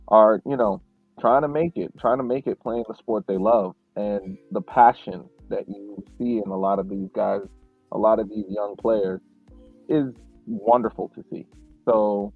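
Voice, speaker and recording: A male podcast co-host telling stories in a relaxed, reflective way, with many fillers and restarts. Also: fairly low pitch, slightly rough, no room sound. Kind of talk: casual podcast chat. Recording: tinny, phone-like dry sound.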